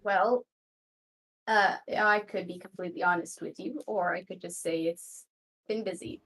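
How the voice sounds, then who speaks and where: A woman's voice: fairly low pitch, steady tone, moderate pace, a bit bright, low-quality recording. A woman player in a tabletop roleplaying game, chatting casually in character with a dry, understated manner. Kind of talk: casual in-character improvised chat.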